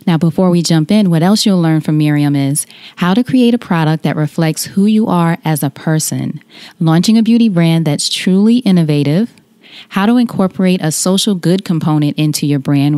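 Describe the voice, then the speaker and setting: A woman hosts a podcast in a low, mellow voice, introducing her guest and asking questions. She is encouraging and answers with short affirmations. The recording is dry and close, with no room sound. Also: no echo. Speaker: podcast host. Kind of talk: podcast interview.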